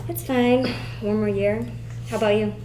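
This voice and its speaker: A woman's voice: medium pitch, fairly even intonation, moderate pace, close to an everyday voice. Woman acting young student, film table read, guarded, playful, echo, background noise and clicks. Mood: shy, teasing. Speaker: actor at a script table read. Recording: echoey room, noisy, clicks.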